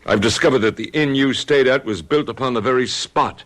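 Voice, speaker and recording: An actor playing a calm, inquisitive doctor in a radio drama, speaking in a measured, reassuring way. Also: male voice, medium pitch, lightly acted. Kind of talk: 1970s radio drama acting.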